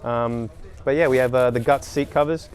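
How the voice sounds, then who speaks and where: Flat-voiced man, hesitant. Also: mid-range voice, moderate pace. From Australia. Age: 30s. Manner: hesitant, many ums and restarts. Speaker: race team mechanic. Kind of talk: casual interview at a race paddock.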